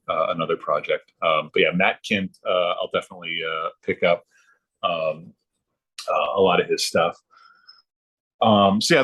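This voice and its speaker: A man chatting casually as a podcast guest, in a halting, rambling way with frequent ums and repeated words. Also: on the low side, fairly bright tone. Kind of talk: casual chat about hobbies.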